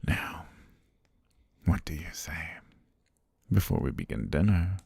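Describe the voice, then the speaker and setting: A man playing a possessive, lovestruck butler in an intimate ASMR roleplay, patient and menacing, with courtly, softly coaxing, half-whispered speech and a gravelly, husky low voice. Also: sing-song, takes it slowly, dry recording, clean studio sound, exaggerated voice. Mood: patient devotion.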